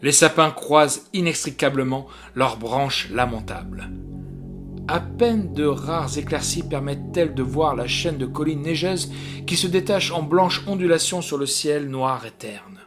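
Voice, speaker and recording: A man reading a literary gothic tale as an audiobook, in an expressive, measured and dramatic storytelling manner. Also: fairly high pitch. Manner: dramatic, measured storytelling with swells and hushed drops. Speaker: audiobook reader. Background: music, guitar.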